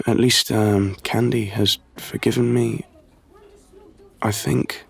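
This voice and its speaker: An actor playing a troubled, resigned young man, speaking haltingly in an audio play monologue. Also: on the low side, little pitch movement, vocal fry, slightly rough, heavily acted, low-quality recording. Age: young adult. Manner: hesitant, halting.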